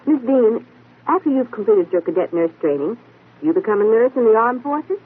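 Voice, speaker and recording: A woman's voice: fairly high pitch, big pitch swings, brisk, slightly rough. A woman acting an inquisitive young female assistant to a detective in a 1940s radio mystery. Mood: genial. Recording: tinny old radio broadcast.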